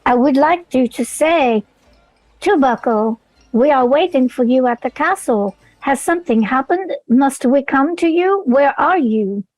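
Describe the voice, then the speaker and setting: A woman's voice: sits high, expressive, measured pace, no echo, rough recording. A woman roleplaying a refined, determined adventuress in a tabletop game, with formal, courtly phrasing and an affected accent. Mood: determined, concerned.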